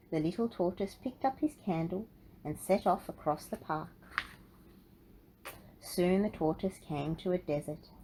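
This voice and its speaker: A woman with a slightly low voice reads a picture book aloud for children. Her storytelling is expressive and deliberate, and the recording carries clear background noise. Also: low-quality recording.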